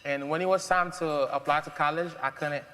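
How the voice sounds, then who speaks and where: Young guy from haiti on a panel, kinda high voice, lots of ums, big echoey hall. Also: steady tone, fairly quick, pushed and bright. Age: young adult. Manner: earnest, with many fillers. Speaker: mentee. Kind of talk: prepared speech on a panel. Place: large event hall. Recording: long hall reverb.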